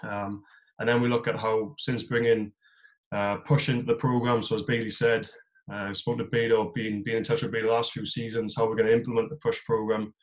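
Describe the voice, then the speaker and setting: A man's voice: fairly low pitch, flat delivery, a little fast, rough recording. A man in his thirties with a South Welsh accent, presenting on a video call from a small room, talking loosely with many fillers and restarts. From South Wales. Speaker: head of physical performance, guest speaker. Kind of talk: webinar presentation. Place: small room at home, indoors. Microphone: video call on a laptop.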